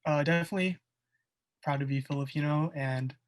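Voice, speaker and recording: A young male college student speaking in a soft-spoken, even way on a casual podcast. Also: a little high, fairly quick, slight background noise. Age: young adult. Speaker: college student, club officer.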